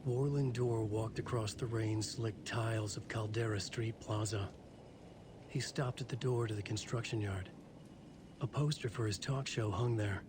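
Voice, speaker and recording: An actor playing a brooding writer who narrates a dark thriller in a video game, measured and solemn. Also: male voice, on the low side, steady tone, close to an everyday voice. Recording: clear ambient noise behind narration.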